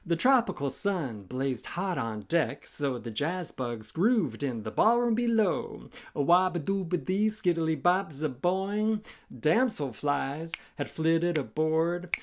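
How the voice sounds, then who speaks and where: A male children's storyteller with a very lively, swinging pitch. He takes his time, delivering lines theatrically and rhythmically as if leading kids in a chant. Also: slow. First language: English. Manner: theatrical, rhythmic, inviting audience participation. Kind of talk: animated children's storytime reading with scat chanting.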